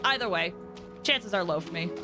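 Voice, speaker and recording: A woman live-streaming a game, giving casual, chatty commentary and reacting out loud. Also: on the low side, animated, moderate pace, over music.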